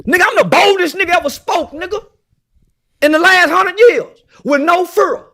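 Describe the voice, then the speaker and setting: A man's voice: extremely high pitch, moderate pace, pushed and bright. A man talking brashly as a podcast guest, boastful and preachy, swearing constantly.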